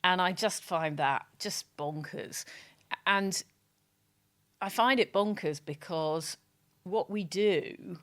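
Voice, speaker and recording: A woman with a loud voice speaking frankly and emphatically as a brand strategy guest in a podcast interview, often restarting her sentences. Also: on the low side, takes it slowly. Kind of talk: candid podcast interview talk.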